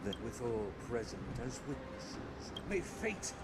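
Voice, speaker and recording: A man's voice: medium pitch, big pitch swings, takes it slowly, heavy background noise. An actor playing a cold, ruthless king in a video game, speaking in a stately, commanding way. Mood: cold, resolute. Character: cold ruthless tyrant king, father of a child. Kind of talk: dramatic game voice acting.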